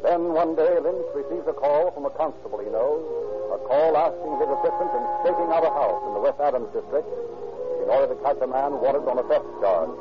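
A man giving measured, dramatic scripted narration for a vintage radio crime drama. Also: a little high, lively intonation. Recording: dull old radio recording with music.

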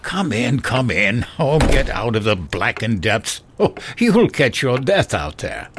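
A man's voice: medium pitch, big pitch swings, measured pace, noisy background. An actor playing a grumbling but hospitable older English father in an audio drama, speaking emphatically. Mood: hearty, welcoming.

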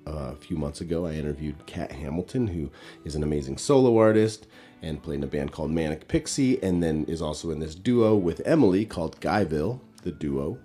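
Deep, mellow, congested male podcast host. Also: brisk, breathy edge, soft background music. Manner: relaxed, conversational, loose fillers. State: congested, recovering from illness. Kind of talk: casual podcast intro and sponsor read.

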